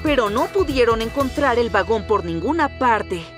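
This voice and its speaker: A woman with a Latin American accent narrating a children's animated story. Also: on the high side, moderate pace, music in the background.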